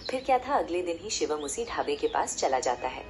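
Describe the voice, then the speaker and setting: A woman's voice: sits low, sing-song, a little fast. A woman narrating a story in a scripted storybook style. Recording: music behind the voice. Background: loud background music.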